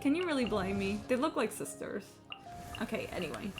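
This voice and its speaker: A woman's voice: medium pitch, very animated, fairly quick. A woman chatting casually on a gaming livestream in a rambling, sweary, self-deprecating way. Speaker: live game streamer. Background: game music.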